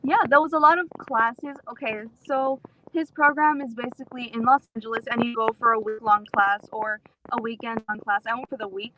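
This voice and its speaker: A woman's voice: on the high side, fairly even intonation, a little fast, sharp tone, a little hiss or hum, rough recording. A woman from southern Texas, an interview guest explaining her background in a casual, storytelling way. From Rio Grande Valley, Texas, USA. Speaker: interview guest, author and actor.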